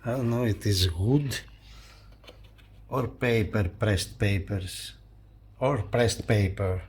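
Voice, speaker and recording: A man presenting a hi-fi review in a casual hands-on style, talking haltingly and repeating phrases as he points things out. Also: on the low side, a little hiss or hum. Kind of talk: casual hands-on video commentary.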